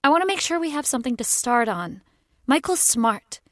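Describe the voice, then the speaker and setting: Spoiled young 1920s rich girl, high voice that swings around a lot, flustered n defiant, radio play. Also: big pitch swings, moderate pace, a bit bright, no room sound, clean studio sound. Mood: troubled, hesitant. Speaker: actor. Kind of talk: 1920s period audio drama acting.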